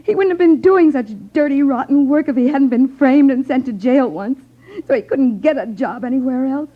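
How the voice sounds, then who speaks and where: An actress playing an indignant, bitter widow with impassioned 1950s radio acting. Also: female voice, sits high, normal speed. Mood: bitter, pleading. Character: bitter, defiant widow accusing gangsters. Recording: dull 1950s radio recording.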